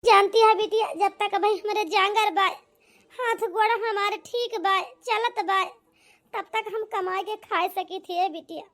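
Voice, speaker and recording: A woman voicing a humble, apologetic old village mother in an amateur cartoon, with an Awadhi accent, a pleading manner and a pitched-up voice. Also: fairly even intonation, a little slow, a bit bright. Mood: hurt, resigned. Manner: pleading, repeatedly addressing her daughter tenderly. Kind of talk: amateur cartoon comedy-drama acting.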